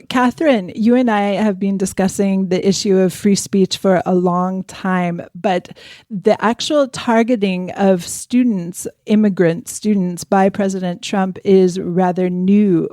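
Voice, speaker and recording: A woman hosting a news podcast, speaking in a measured, articulate way. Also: medium pitch, fairly even intonation, dark tone, no echo, clean studio sound. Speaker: podcast host.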